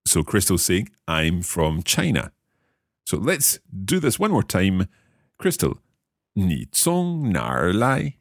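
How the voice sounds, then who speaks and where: A man from Scotland leads a relaxed podcast language lesson, talking slowly and repeating each phrase. His voice sits very low but swings widely in pitch. The sound is close and dry. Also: dry recording. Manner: slow, careful, repeats phrases aloud. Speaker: language learner and podcast host.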